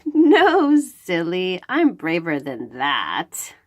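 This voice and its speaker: A woman's voice: on the low side, wildly swooping pitch, extremely slow, full character voice. A woman voicing a small storybook child, timid yet proud of being brave, who gives short, emphatic denials. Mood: dismissive.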